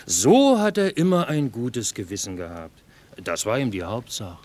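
An actor playing a cynical army cook with a dry, ironic, measured delivery in a radio play. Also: male voice, mid-range voice, sing-song, darkish tone. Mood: dry irony. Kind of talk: theatrical radio drama acting.

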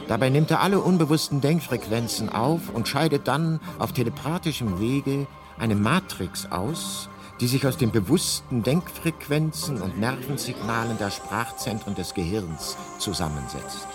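An actor playing a talking guidebook in a radio drama, narrating with deadpan, mock-scholarly precision and dry irony. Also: male voice, medium pitch, measured pace, music in the background, lightly acted. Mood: dry, ironic.

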